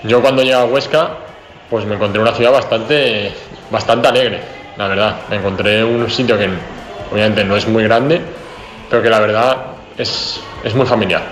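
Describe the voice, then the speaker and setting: A young man from the area, a basketball player answering press conference questions in a relaxed, chatty way with many fillers. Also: on the low side, normal speed. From Spain, Madrid region. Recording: echoey press room.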